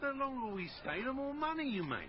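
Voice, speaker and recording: A man's voice: very high voice, very animated, a little fast, dull audio, noisy background. An actor playing a grandiose, flamboyant father of a troupe of poor players, declaiming floridly in a period radio drama. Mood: indignant.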